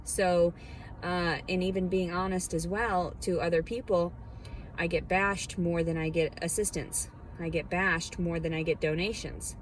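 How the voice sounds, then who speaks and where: A woman with a lowish voice vents to her viewers in a heated, defensive rant. Faint vehicle noise hums in the background. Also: fairly even intonation, unhurried, poor audio. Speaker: vlogger.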